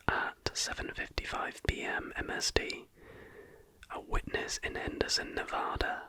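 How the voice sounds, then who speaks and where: This is an ASMR host speaking in a constant breathy whisper. The sound is bright and sibilant, with no real voicing. The delivery is soft, unhurried explanatory narration. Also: very high-pitched, poor audio.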